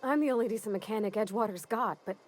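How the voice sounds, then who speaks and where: A young woman acting an eager, awkward ship mechanic in a video game, with a folksy, halting, earnest manner. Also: medium pitch, lightly acted. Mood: wistful. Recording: music behind the voice.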